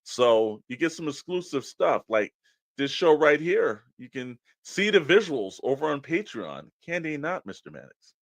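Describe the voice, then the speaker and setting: A man's voice: very animated, a little slow, dry recording. Casual male podcast host, dry close sound. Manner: conversational, addresses listeners directly as a pitchman. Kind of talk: casual promotional podcast chat.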